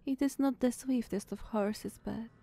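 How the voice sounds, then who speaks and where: A woman playing a devoted, anxious female knight in an ASMR roleplay, speaking formally and hesitantly with breathy tenderness. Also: on the high side, fairly even intonation, measured pace, warm tone. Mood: tender, resolute. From Slavic country. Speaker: audio roleplay performer.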